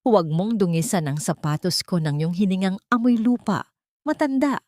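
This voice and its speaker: A woman's voice: medium pitch, normal speed, a little mellow, no echo, clean studio sound, lightly acted. A woman voicing a cruel, haughty young rich woman who sneers and talks down in a story drama. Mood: contemptuous. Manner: sneering, condescending, menacing. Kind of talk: dramatic Tagalog storytelling dialogue.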